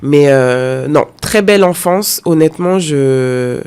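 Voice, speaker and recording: A woman's voice: low-pitched, slow pace, darkish tone, clear, resonant tone. A woman in her thirties from France, talking about her life as an interview guest, with many fillers and repeated phrases. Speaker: guest, content creator. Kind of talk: personal interview conversation.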